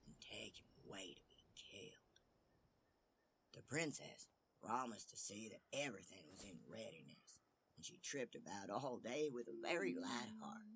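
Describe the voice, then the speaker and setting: Male storyteller, lowish voice, dramatic fairy-tale narration with hushed endings, some ambient noise behind. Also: creaky.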